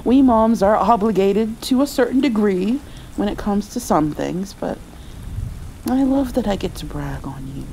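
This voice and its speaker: A woman playing a doting, playful mother comforting her grown child in an intimate ASMR roleplay, softly and affectionately. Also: mid-range voice, lively intonation, slight background noise, poor audio. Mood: tender, reassuring. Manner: soft, affectionate, slow with gentle laughs.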